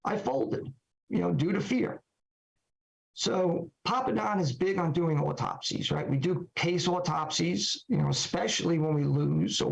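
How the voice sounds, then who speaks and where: A middle-aged man who is a trial lawyer, talking through his thoughts in a reflective monologue with a hoarse voice, full of fillers and questions to himself. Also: fairly high pitch, dull audio. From Georgia, USA. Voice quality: gravelly. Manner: conversational, filler-heavy, self-questioning. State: hoarse after illness. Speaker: trial lawyer and podcast speaker.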